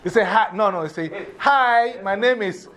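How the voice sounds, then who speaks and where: High-pitched Ghanaian man teaching a class. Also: moderate pace, fairly bright tone. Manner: directive, repeats instructions. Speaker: teacher of a drumming and English class. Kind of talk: informal classroom instruction.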